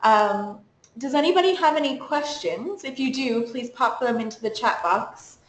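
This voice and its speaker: A quick, chatty woman from the United States runs through practical advice for students in a webinar. Her voice sits a little high and rings in an echoey room. A faint hiss hangs under the call. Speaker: program coordinator. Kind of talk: webinar presentation.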